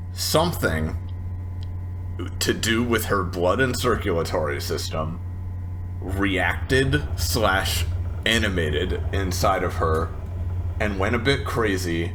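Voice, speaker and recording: A man narrating in an improvised tabletop roleplay, halting and restarting his phrases. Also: deep voice, fairly bright tone, slight background noise.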